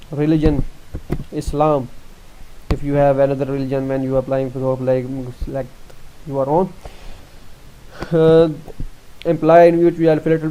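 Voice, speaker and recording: A young man from Pakistan with a Pakistani accent, explaining an online form step by step, halting often with fillers and restarts. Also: on the high side, mellow, low-quality recording. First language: Urdu. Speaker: tutorial presenter. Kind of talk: screen-recorded walkthrough.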